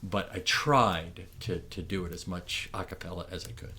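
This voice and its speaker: Bright-voiced man reminiscing, low pitch. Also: steady tone, normal speed, a little hiss or hum. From Ontario, Canada. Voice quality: loud projecting voice. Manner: rambling, with frequent restarts and fillers. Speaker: interviewee, morris dance barker. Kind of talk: reminiscing oral history interview.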